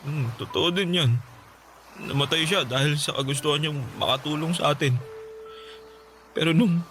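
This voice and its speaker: A man's voice: a little high, normal speed, fairly bright tone, slight background noise, close to an everyday voice. An actor playing a sorrowful young male friend in an emotional radio drama, with a Filipino accent. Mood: somber, resigned.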